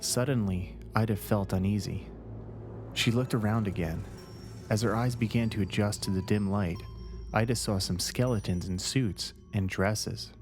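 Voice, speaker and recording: A man reads a scary story as its narrator, in short, deliberate phrases. His voice sits slightly low, and music plays clearly behind him. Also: steady tone, a little mellow. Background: background music.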